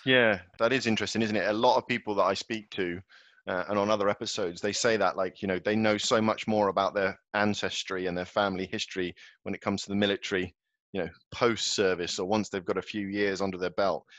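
A man's voice: lowish voice, steady tone, brisk, a bit bright, dry recording. A man hosting a podcast, asking loose, rambling questions with plenty of fillers. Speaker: podcast host. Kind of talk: casual interview chat. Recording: dry, dull lo-fi sound.

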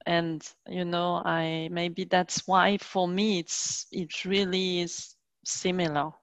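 A French-accented woman, native in French, leads a relaxed conversational interview. She speaks slowly, feeling her way through each phrase. The sound is dry, with hardly any room around her. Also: fairly low pitch, dry recording. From France. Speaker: podcast host interviewer.

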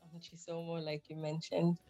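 A woman in her thirties from Zambia speaks as a podcast guest. Her voice is low and level, and she explains things thoughtfully with plenty of fillers. The recording is dry, with faint music underneath. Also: monotone, moderate pace, dark tone, dry recording, rough recording. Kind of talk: conversational interview answers.

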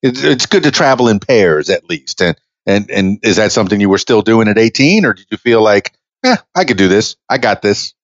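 A man's voice: fairly low pitch, very animated, fairly quick, no room sound, highs cut off. A man in his fifties hosting a podcast interview, rambling with many restarts and easy laughs. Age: 50s. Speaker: podcast host. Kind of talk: casual interview chat.

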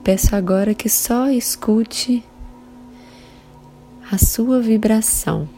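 A Brazilian woman guides a meditation at an extremely unhurried pace. Her tone is soft-edged and mellow, calm and soothing, with long pauses between measured phrases. Meditation music plays behind her. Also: on the high side. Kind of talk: slow guided meditation narration. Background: soft sustained meditation music.

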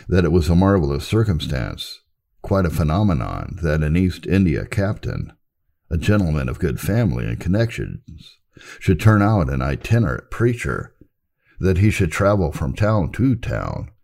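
A man with an extremely deep, mellow voice narrates history from a script. His tone has a gravelly creak at the edges. He reads in a formal, measured audiobook manner. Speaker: reader of historical readings.

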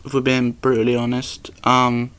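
Young man, casual football chat, flat delivery, clear tone. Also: mid-range voice, moderate pace. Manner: matter-of-fact, dry opinions. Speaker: podcast co-host.

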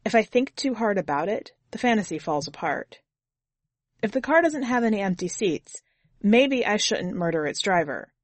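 A woman's voice: medium pitch, very animated, moderate pace, dry recording. A woman narrating in the first person as a jaded, blunt twenty-year-old drifter, wry and foul-mouthed, in an audiobook story.

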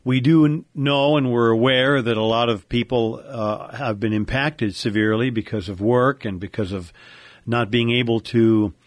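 An American man in his fifties with a medium-pitched voice, speaking as a talk show co-host. His delivery is conversational. The sound is dry, from a home studio. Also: fairly even intonation, normal speed, no room sound. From United States. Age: 50s.

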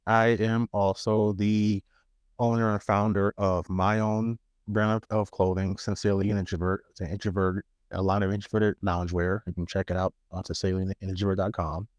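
A man with a New York accent chats casually as a podcast co-host, his voice pitched on the low side. He sounds relaxed and laughs easily. The recording is dry and close to the mic. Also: fairly quick, no room sound. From Queens, New York. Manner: relaxed, laughs often, restarts words. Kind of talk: casual chat.

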